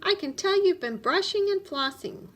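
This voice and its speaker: Storybook beaver dentist, female, played by a woman narrator: high, animated, reassuring, full of encouragement. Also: normal speed. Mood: bright, reassuring. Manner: encouraging, instructive.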